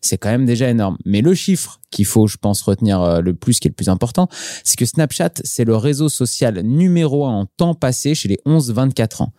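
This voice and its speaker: A man's voice: mid-range voice, lively intonation, normal speed, dark tone, no echo, clean studio sound. A man co-hosting a marketing podcast, chatting casually, with many hesitation fillers as he lists statistics. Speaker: podcast co-host.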